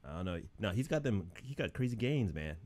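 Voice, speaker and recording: A man's voice: on the low side, big pitch swings, a little fast, darkish tone. A young man chatting casually about an episode in a laid-back, easygoing way. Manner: laid-back, says dude a lot. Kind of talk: casual review chat.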